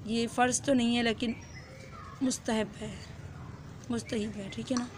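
A young woman with a hoarse throat, chatting casually to her viewers in a vlog and mixing in English words, recorded on a phone at arm's length outdoors. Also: a little high, flat delivery, normal speed, background noise. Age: young adult. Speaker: vlogger. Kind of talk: casual vlog chat to camera. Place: outdoors in a rural courtyard with goats. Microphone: phone held at arm's length.

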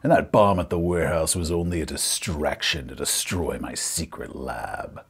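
A man's voice: rumbling low, moderate pace, husky, voice pushed down, heavily acted. A man voicing a triumphant, gloating supervillain who savors each reveal in a tabletop game monologue. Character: gloating male supervillain revealing his secret scheme.

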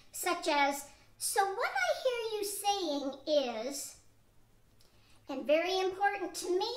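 A woman's voice: high-pitched, a bit bright, exaggerated voice. An older woman playing Marge, an earnest, practical wife, reading steadily from a script in an amateur radio play. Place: studio room with a cloth backdrop. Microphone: stand mic on a tripod. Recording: echoey room.

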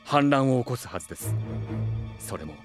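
A man playing a gentle, thoughtful sage and father in an anime audio drama, polite and measured. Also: medium pitch, animated, over music, close to an everyday voice. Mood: calm, serious.

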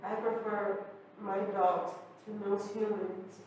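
A woman's voice: mid-range voice, flat delivery, a little mellow, distant mic, dull audio, a little hiss or hum. Woman telling her story in echoey gallery. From Turkey. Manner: earnest, reflective, measured. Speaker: audience member sharing a story. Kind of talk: personal storytelling. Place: art gallery, live audience. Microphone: far camera mic.

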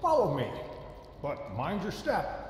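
A self-assured, theatrical studio founder, grand and confiding in a game cutscene. His slightly high voice rises and falls dramatically. Heavy hall reverb and faint ambience surround him. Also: huge pitch swings, a little slow, darkish tone, a little hiss or hum, heavily acted. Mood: welcoming. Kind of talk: video game cutscene acting.

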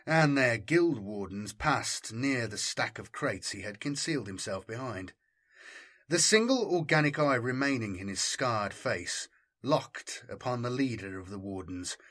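A man reading a military science fiction story as dramatic scripted narration, in a measured, formal storytelling manner. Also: mid-range voice, fairly bright tone, husky. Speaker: audiobook narrator.

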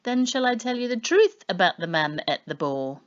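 A woman's voice: medium pitch, sing-song, normal speed, muffled recording, natural voice. Woman playing a menacing growling boar man. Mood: taunting. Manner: growling, snarling. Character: menacing half-boar man, wild storyteller.

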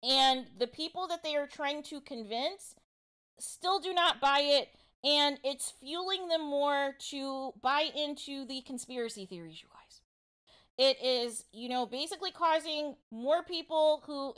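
Woman doing online gossip commentary, really high smooth voice, keeps repeating points for emphasis. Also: a bit bright. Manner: emphatic, repetitive, often addressing listeners directly. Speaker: online commentary show host. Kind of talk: informal gossip commentary to viewers.

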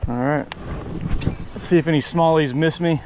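A man's voice: fairly high pitch, normal speed. An American man in his thirties chatting casually to camera as a fishing vlogger, with laid-back, slangy bro talk and some swearing. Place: outdoors on a kayak on open water. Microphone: action camera close by. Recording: outdoor wind and boat noise.

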